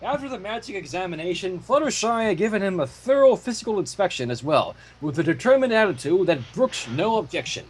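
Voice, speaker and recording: A man reading fan fiction aloud in a theatrical storytelling style, with casual asides and a husky voice. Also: high-pitched, sing-song, a little fast, hardly any pauses, slight background noise, low-quality recording.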